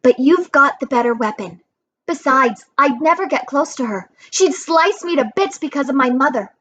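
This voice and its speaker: A woman's voice: high voice, lively intonation, normal speed, a bit bright, slight background noise. A woman voicing a brainy, determined girl in a fantasy storybook, insistent and matter-of-fact. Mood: urgent, insistent.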